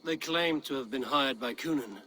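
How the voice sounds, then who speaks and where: A man acting as a proud Norse warrior in a game drama. The voice is forceful and clear-cut in a middle register, with stern, formal wording. Faint noise sits behind it. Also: mid-range voice, normal speed, natural voice. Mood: grave. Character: proud, blunt Norse warrior seeking to regain honor.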